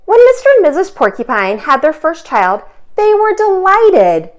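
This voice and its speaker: A woman teacher hosting a children's storytime, reading aloud expressively with dramatic pauses and emphasis. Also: very high-pitched, big pitch swings. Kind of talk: animated children's read-aloud.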